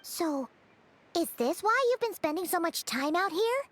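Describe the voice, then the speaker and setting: A woman voicing a tiny, bubbly female companion in a game, with a high, squeaky, childlike voice and a chirpy, fussing way of talking. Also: very animated, a little slow, exaggerated voice. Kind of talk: game voice acting. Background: loud game music.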